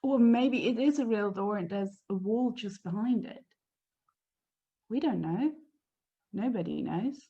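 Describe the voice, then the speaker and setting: A woman's voice: medium pitch, expressive, unhurried, pauses often, dull audio. A woman as a lone door guard musing. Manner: musing, rambling to herself. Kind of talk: improvised monologue scene.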